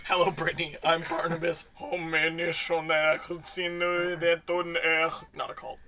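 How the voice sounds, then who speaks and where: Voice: medium pitch, little pitch movement, moderate pace, recorded from a distance, a crowd in the background, close to an everyday voice. A performer voicing a sleazy, drunken pimp who mumbles and slurs, heard off-mic in a noisy bar.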